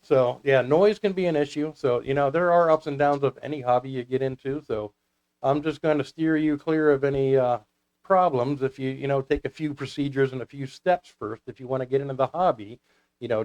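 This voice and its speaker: A man's voice: medium pitch, brisk, darkish tone, clean tone, dull audio. A man talking casually as a guest about his hobby, in a folksy, rambling storytelling way. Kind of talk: casual hobby talk with anecdotes.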